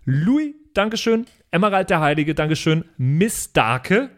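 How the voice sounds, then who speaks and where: A man's voice: fairly high pitch, big pitch swings, a little slow, fairly bright tone. A man hosting a podcast, chatting casually and reading out thanks with jokey little asides. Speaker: podcast host.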